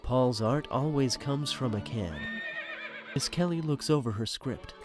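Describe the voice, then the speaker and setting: A man's voice: medium pitch, unhurried, music in the background, natural voice. A man narrating a scripted audio drama in a wry, teasing manner.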